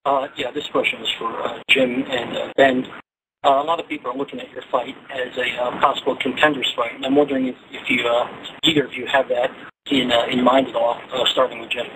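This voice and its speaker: A man's voice: medium pitch, flat delivery, fairly quick. A man working as a reporter, hesitantly feeling his way through questions on a press conference call. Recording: noisy conference phone call.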